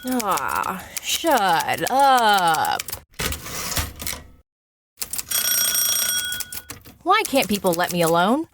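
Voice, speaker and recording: A woman playing an excitable, frazzled script writer in an audio drama, muttering and hesitant in exasperation. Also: medium pitch, takes it slowly, background noise. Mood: irritated, placating.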